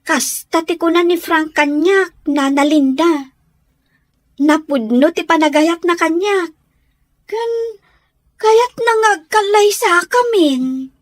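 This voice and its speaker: Chatty young ilocano bestie, really high voice, takes her time, radio drama. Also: slow pace, long pauses, no room sound, studio quality. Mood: happy, respectful. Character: eager, chatty young woman, heroine's best friend.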